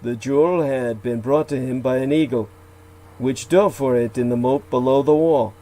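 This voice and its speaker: A man reciting old legends aloud, with slow, deliberate delivery, archaic phrasing and occasional asides where he corrects himself. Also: medium pitch, warm tone, low-quality recording. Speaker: radio show guest.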